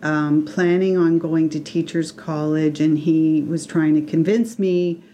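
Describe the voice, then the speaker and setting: Hesitant middle-aged woman, low mellow voice. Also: fairly even intonation, measured pace, a little hiss or hum. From Canada. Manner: hesitant, many restarts and fillers. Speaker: guest artist. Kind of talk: casual interview. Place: radio studio.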